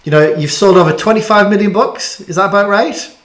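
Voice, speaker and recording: A man with a slightly high voice hosts a casual question-and-answer chat. He is chatty and self-deprecating and often trips over his words. The room around him is noticeably echoey. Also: a little fast, fairly bright tone. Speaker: interviewer hosting a school bedtime story chat.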